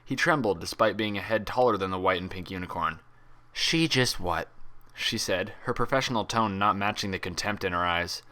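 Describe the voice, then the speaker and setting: A man's voice: low-pitched, fairly quick, hardly any pauses, pushed and bright. A man reading a story aloud as narrator, in a scripted, dramatic style. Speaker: fan fiction reader and narrator.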